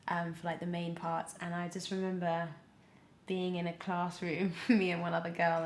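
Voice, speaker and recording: A young British woman chatting casually as a podcast guest, telling stories in an animated way peppered with "like". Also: lowish voice. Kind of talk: casual interview chat. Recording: roomy, echoey sound.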